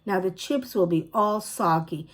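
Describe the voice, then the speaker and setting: A woman's voice: lowish voice, a little slow. A woman voicing a sulky, rude little girl who whines and complains in a storybook read-aloud. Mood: whiny. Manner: whiny, complaining.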